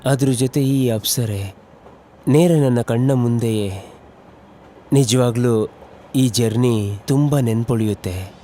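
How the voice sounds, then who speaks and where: A man voicing a young male train traveller in a horror story, chatty and expressive with some hesitation. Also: mid-range voice, takes it slowly, long pauses, slight background noise, natural voice. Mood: smitten, dreamy. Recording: music behind the voice.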